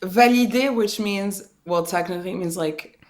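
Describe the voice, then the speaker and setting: A young woman talking as a guest in a casual interview, eager and blunt, quick to agree and not shy about swearing. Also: mid-range voice, over a noisy crowd. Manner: enthusiastic, quick to agree, plain-spoken with some swearing.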